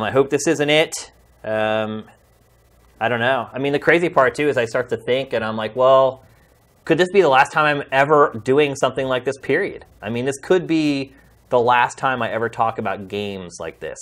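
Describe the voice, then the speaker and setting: A man's voice: mid-range voice, a bit bright. Earnest male podcast host, heartfelt. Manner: heartfelt, pleading, conversational. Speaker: podcast host and games journalist. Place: video podcast studio.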